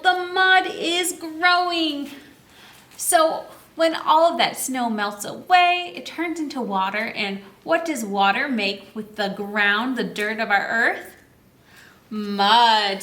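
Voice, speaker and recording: A woman's voice: high-pitched, slow, a bit bright. A woman leading a children's story time, reading a picture book aloud and explaining it simply, asking questions and answering them herself. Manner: simple teacherly phrasing, asks and answers own questions. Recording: echoey room, distant microphone.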